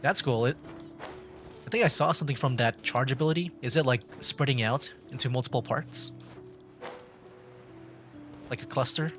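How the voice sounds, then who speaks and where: A man's voice: medium pitch, normal speed, long pauses, fairly bright tone. A man streaming a game, giving casual live commentary, thinking aloud with mild exclamations. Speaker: streamer, VTuber gamer. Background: faint game music.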